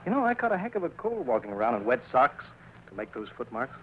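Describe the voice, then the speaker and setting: A man's voice: medium pitch, big pitch swings, fast talker, close to an everyday voice. A scheming, cocky newspaper reporter played by a man with an American accent and a mid-range voice. He talks in brash slang in 1940s radio style, over a muffled recording with some hiss. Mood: cocky, indignant. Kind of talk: 1940s radio drama acting.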